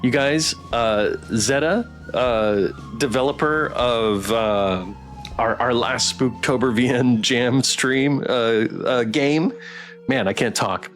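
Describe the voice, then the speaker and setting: A man's voice: mid-range voice, slow, a bit bright. A man streaming a game, chatting casually with his viewers. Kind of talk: casual game livestream chat. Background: music.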